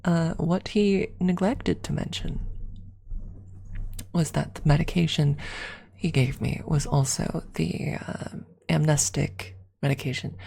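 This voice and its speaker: Low, husky, hushed ASMR woman. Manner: hushed, often slipping into whisper. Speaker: ASMR streamer. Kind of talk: soft-spoken ASMR storytelling. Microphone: close ASMR microphone.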